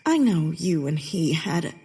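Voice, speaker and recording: A woman's voice: sits low, very animated, a little slow, warm tone, slightly rough, soft background music, exaggerated voice. An actress playing a bitter, theatrical Victorian woman poet in a comedic period audio drama, with a haughty, sardonic delivery. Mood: defensive, wry.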